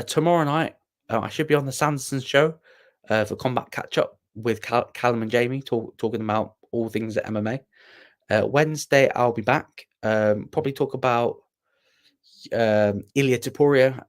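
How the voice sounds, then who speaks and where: A young man hosting a casual livestream, rambling and self-deprecating as he answers viewers with plenty of ums and restarts. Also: lowish voice. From Wales. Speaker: livestream host.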